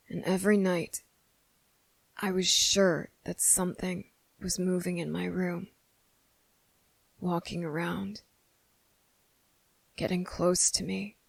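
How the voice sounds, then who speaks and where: A guarded young boy, played by a woman, speaks in a hushed ASMR roleplay. The voice is low and gravelly, recorded close and dry. He takes his time, halting between thoughts as he confronts a hidden monster. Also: no echo, full character voice. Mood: wary, brooding.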